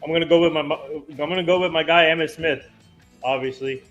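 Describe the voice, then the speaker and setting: A man's voice: a little high, fairly even intonation, fairly quick. A man on a sports panel, arguing for his pick in casual talk. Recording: dull lo-fi remote audio, music underneath.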